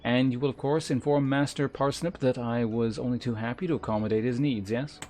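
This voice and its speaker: A man's voice: mid-range voice, steady tone, a little fast, darkish tone, soft background music, lightly acted. A man voicing a servile game shopkeeper who is eager to please, obsequious, flowery and overly polite. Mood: fawning, eager. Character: fawning, servile tool supplier eager to please.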